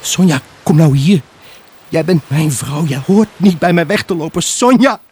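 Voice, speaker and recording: An actor playing a lonely, grieving husband in an intimate radio drama monologue, brooding, halting and emotionally raw. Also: male voice, on the high side, expressive, a little mellow, breathy edge. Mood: desperate, pleading. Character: grieving, haunted husband, lonely, obsessive and pleading. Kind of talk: Dutch radio drama monologue, intimate first-person narration.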